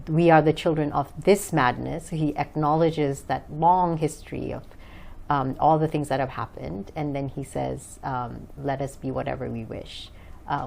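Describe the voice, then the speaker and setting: A woman's voice: sits low, vocal fry. A woman from Bangladesh answering conversationally as an interview guest, hesitant as she searches for words. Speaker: interview guest, author and English teacher.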